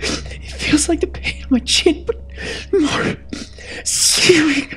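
A woman voicing a terrified, guilt-ridden husband in a first-person horror story, halting and breathy, often dropping to a whisper. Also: high-pitched, fairly bright tone. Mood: anguished, panicked. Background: background music.

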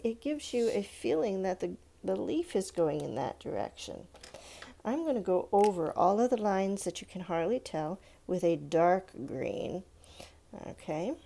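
A woman's voice: mid-range voice, vocal fry, dark tone. A woman teaching children to draw, talking casually and patiently through each step in an encouraging way as she works. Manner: patient, encouraging, talking along while drawing. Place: indoors at a countertop.

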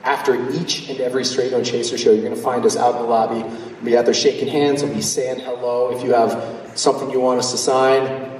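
A man's voice: medium pitch, little pitch movement, brisk, darkish tone. A man from Colorado, a singer in a vocal group, speaking to fans from a stage. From Littleton, Colorado. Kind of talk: stage address to concert audience. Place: large indoor theater stage. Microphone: handheld mic through PA, recorded from audience. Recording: echoey concert hall from far, crowd noise.

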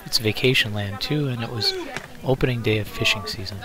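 A man's voice: mid-range voice, brisk, a crowd in the background. A man narrating a video of his auction in an easygoing, casual way, with fillers. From northern Wisconsin. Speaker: antiques dealer narrating.

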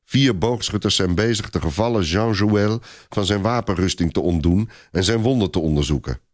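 A man's voice: low-pitched, moderate pace. A man narrating a scripted adventure story in a theatrical, suspenseful manner.

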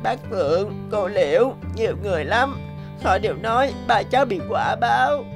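A woman's voice: mid-range voice, normal speed, lightly acted. A woman voicing an earnest, worried little boy in a cartoon, with music behind the voice. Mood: sad. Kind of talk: cartoon voice acting.

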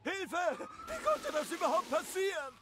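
Very high-pitched, theatrical game civilian. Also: sing-song, unhurried, music in the background, exaggerated voice. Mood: alarmed. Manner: theatrical, exclamatory. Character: distressed, gushingly grateful civilian in a superhero game. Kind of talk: animated video game voice acting.

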